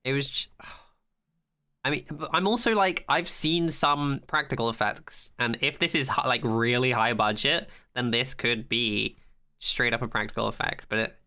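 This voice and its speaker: Podcast co-host, bright and clean-toned, mid-pitched, enthusiastic and sweary in casual recap chat. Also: normal speed.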